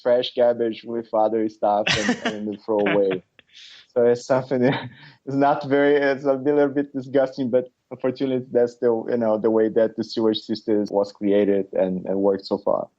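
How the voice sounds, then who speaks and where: A middle-aged Brazilian man chatting as a podcast guest, his English full of fillers, restarts and small laughs. His voice is dark and mellow. The recording sounds dull and lo-fi. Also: mid-range voice, level tone. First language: Portuguese. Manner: halting, many fillers and restarts, laughs often. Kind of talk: casual interview chat.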